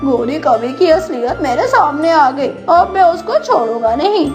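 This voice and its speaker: A woman playing a suspicious, quick-tempered male crow husband in a cartoon animal fable, indignant and scolding. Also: high voice, expressive, music in the background. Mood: resentful.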